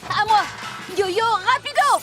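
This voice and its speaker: High-voiced young boy hero. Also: takes it slowly, over loud music. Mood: commanding. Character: young boy superhero leader, earnest, decisive. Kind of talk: French cartoon dubbing.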